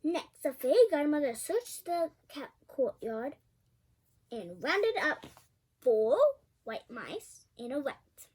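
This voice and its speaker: A female reader with a high voice tells a fairy tale out loud. Her intonation swings widely and expressively. She drops in chatty asides and trips over a word now and then. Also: slow pace. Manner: expressive, stumbles over words. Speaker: storybook reader.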